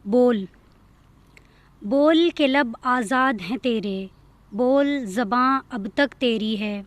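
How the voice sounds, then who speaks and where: A woman's voice: on the high side, extremely slow, no echo. A woman giving a recitation of Urdu poetry.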